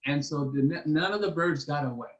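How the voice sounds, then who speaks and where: A man voicing a clever boy storyteller who spins a tall tale with drawn-out, emphatic suspense. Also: a little high, lo-fi sound, close to an everyday voice. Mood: confident, teasing.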